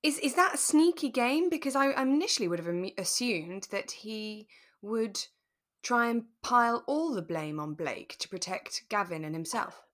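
A woman with a clearly bright, forward voice co-hosts a casual chat show. She explains her thoughts carefully and a little tentatively, in a relaxed conversational style. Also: on the high side, normal speed. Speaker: podcast co-host. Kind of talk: relaxed radio drama discussion chat.

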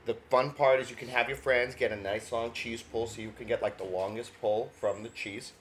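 A man's voice: mid-range voice, moderate pace, a little hiss or hum. A young man from New co-hosting a food podcast, chatting casually, telling stories animatedly and laughing often. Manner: animated storyteller, laughs often, gets loud when excited. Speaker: podcast co-host. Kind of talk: casual chat.

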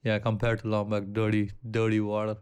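A man with an Indian accent, co-hosting a casual podcast chat, relaxed, swearing freely and often restarting his sentences. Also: lowish voice, fairly quick, clear, resonant tone. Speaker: podcast co-host.

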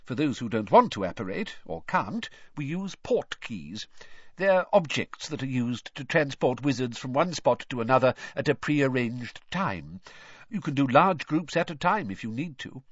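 A man voicing a cheerful middle-aged wizard father in an audiobook, explaining things in a brisk, eager, chatty way. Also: medium pitch, very animated, breathy edge, no echo, muffled recording, pitched above the performer's own voice. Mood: eager, informative.

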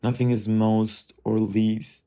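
Man, low voice, very slow, musing self-talk, profane, drifting. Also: little pitch movement, warm tone, smooth. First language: Turkish. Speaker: streamer. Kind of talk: rambling self-talk monologue.

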